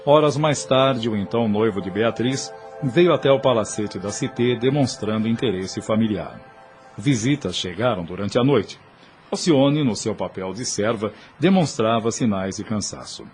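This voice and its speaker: A man's voice: on the low side, expressive, normal speed, a little mellow. A man with a Brazilian accent reads formal, solemn, declamatory narration for an audio soap opera. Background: prominent music.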